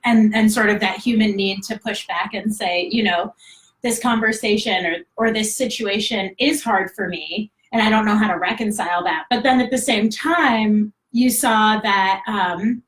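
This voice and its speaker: A woman's voice: medium pitch, a bit bright. A woman hosting a live interview, upbeat, with hedging phrases like "sort of" and "you know". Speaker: interviewer, magazine editor. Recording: roomy echo.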